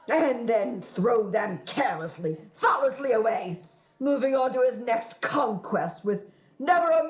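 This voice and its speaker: A high, theatrical scheming widow who poses as a moral crusader. The delivery is slow and florid, sweeping up and down with prim, sanctimonious grandeur, as in a stage-flavored radio drama. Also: female voice, rough recording. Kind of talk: theatrical radio play acting.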